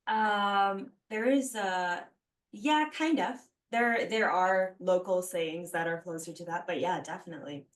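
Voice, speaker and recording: A woman in her thirties from the Philippines hosts a relaxed interview podcast with a low voice. She talks reflectively, sprinkling her sentences with "like" and "you know". Her voice sits a little back from the microphone. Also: a little slow. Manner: chatty, warm reflections full of "like" fillers. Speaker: podcast host. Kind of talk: casual conversational interview. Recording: voice a bit distant from the mic.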